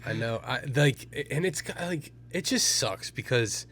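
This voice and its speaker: A man's voice: mid-range voice, expressive, measured pace, a bit bright, slight background noise. A young man co-hosting a sports podcast, often stumbling and repeating words as he starts a point. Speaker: podcast co-host. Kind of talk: casual sports debate.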